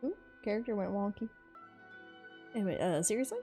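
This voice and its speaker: Mellow, creaky-voiced woman streaming. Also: medium pitch, expressive, brisk. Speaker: game streamer. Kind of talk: casual reactions over gameplay. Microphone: close streaming mic. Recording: game music behind the voice.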